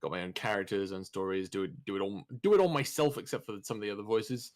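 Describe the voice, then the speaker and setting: A man chatting casually in a halting, awkward, self-deprecating way. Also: lowish voice, lively intonation. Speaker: voice actor, audio roleplay creator. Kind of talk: casual chat.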